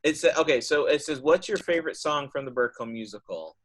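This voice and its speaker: A man's voice: medium pitch, expressive, a little fast. A man hosting an informal group chat, talking in a casual, rambling way. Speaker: host of a virtual cast panel, puppet show writer.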